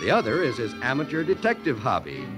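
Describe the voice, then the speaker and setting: A male radio announcer from the 1940s reads ads and sets up scenes with polished emphasis. His delivery has a salesy lilt, and his pitch rises and falls expressively. Faint music plays behind him. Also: wildly swooping pitch, fast talker.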